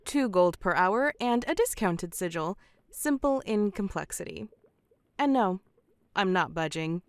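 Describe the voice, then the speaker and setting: A woman's voice: medium pitch, lively intonation, measured pace, smooth, dry recording. A woman playing a shrewd, playful magic mentor and shopkeeper in a medieval fantasy audio drama. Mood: firm, wry.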